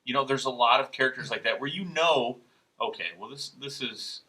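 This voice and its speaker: A man's voice: medium pitch, brisk, sharp tone, rough recording. A man co-hosting a podcast, chatting casually and insistently, often repeating himself. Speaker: podcast co-host. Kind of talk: casual animated chat.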